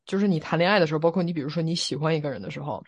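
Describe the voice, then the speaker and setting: A woman's voice: low voice, very fast, husky, highs cut off. Reflective woman chatting on a podcast. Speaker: podcast co-host. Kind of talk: casual opinion chat.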